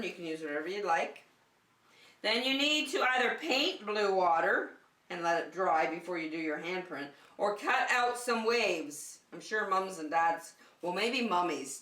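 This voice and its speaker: Slow-talking woman in an echoey room. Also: mid-range voice, pushed and bright. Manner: simple, encouraging address to young children. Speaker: children's teacher hosting story time. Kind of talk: children's circle time and read-aloud. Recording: roomy, echoey, distant microphone.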